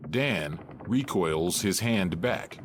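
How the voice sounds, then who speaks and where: A man narrating a play, reading stage directions in a measured, matter-of-fact way. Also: low voice, lively intonation, faint music behind.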